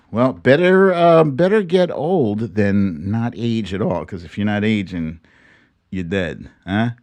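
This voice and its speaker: A man's voice: lowish voice, animated, takes it slowly, a little mellow, dry recording. An American man in his fifties hosting a casual live online show, playful and jokey, drawing out words theatrically. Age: 50s. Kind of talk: casual livestream chat.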